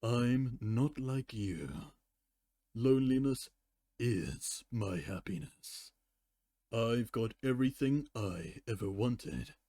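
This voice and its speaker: Man reading as a cold, deliberate pony villainess. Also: medium pitch, lively intonation, mellow, no echo. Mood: cold, defiant. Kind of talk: fan fiction reading.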